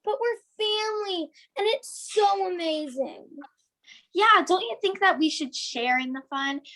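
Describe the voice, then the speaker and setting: A woman's voice: very high voice, unhurried, pushed and bright, full character voice. A woman voicing eager young granddaughters who gush and plead at a table read over a video call. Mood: excited. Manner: gushing, pleading. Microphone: video call mic.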